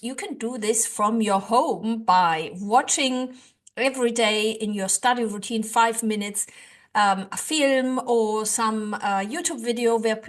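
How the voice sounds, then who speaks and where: Earnest German-native woman teaching. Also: a little high. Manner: earnest, rambling, many fillers and restarts. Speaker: language-learning YouTuber. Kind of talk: casual teaching to camera.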